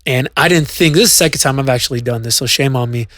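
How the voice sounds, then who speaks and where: A man's voice: mid-range voice, fast talker, a bit bright, no room sound, clean studio sound. A male DJ co-hosting a podcast, telling a story at length in casual chat, with many fillers and restarts. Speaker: podcast co-host and DJ.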